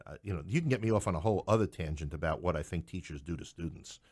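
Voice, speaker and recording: A middle-aged man with a deep voice in a dry, close recording. He speaks conversationally as an interview guest, spinning anecdotes with animation and often restarting his phrases. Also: very fast, darkish tone, dry recording. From New York City. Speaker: podcast guest, English professor.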